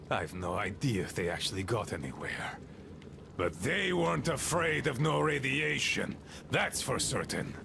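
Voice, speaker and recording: An actor playing an embittered wounded outpost leader in a video game, ranting, scornful and mocking, with a gravelly voice. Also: male voice, medium pitch, noisy background, exaggerated voice.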